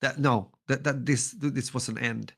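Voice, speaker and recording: A man's voice: medium pitch, fairly even intonation, moderate pace, gravelly, fairly bright tone, slightly rough. A man co-hosting a casual podcast, easygoing and hesitant. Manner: hesitant, many "uh" fillers and restarts, easygoing. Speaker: podcast co-host.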